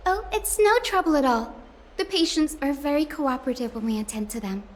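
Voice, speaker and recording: A woman playing a sweet, bubbly young healer priestess in a video game, cheerful, gushing and excitable. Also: high voice, brisk. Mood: cheerful, reassuring.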